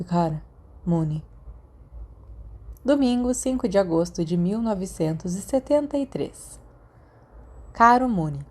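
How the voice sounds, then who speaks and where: A woman with a Brazilian accent narrates in a soft, mellow tone. Her pitch sits in the middle for a woman. She reads informal letters expressively, in a conversational storytelling manner. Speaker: audiobook narrator. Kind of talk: expressive reading of fan fiction letters.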